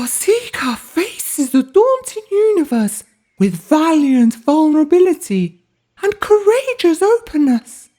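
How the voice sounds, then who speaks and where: A woman voicing a wise, solemn tawny owl in a storybook, speaking in a drawn-out, oracular way. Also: high-pitched, mellow, pitched above the performer's own voice, full character voice. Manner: slow, drawn-out, oracular delivery. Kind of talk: storybook character voice.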